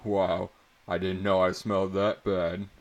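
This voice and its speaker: A man's voice: low-pitched, little pitch movement, unhurried, lo-fi sound. A man voicing a cocky, sarcastic teenage boy student in a dramatic story reading.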